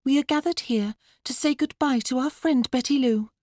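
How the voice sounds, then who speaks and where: A woman's voice: sits high, moderate pace, darkish tone, no echo, clean studio sound, voice pushed up. A woman voicing an earnest, practical young girl with a mock-solemn manner in an audiobook story. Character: matter-of-fact, earnest, morbid young girl. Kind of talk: audiobook character voice.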